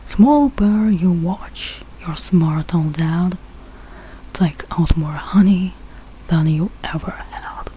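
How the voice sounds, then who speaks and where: A woman's voice: lowish voice, slow pace, warm tone, slight background noise, poor audio. A woman voicing a confident, boastful father bear from a rhyming storybook, speaking in a self-assured, instructive way.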